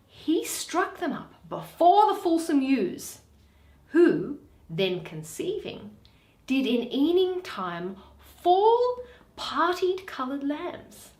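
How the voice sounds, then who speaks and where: A woman playing a shrewd, aggrieved male moneylender in a Shakespeare reading, with emphatic, theatrical, deliberate delivery. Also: sits high, big pitch swings, a little mellow, roomy sound, pitched above the performer's own voice, full character voice. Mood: sly, storytelling.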